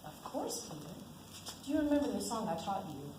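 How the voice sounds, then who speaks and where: A teenage girl playing a gentle, consoling nun in an amateur student stage play. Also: mid-range voice, big pitch swings, brisk, natural voice. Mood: soothing, solemn. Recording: echoey school stage, faint noise.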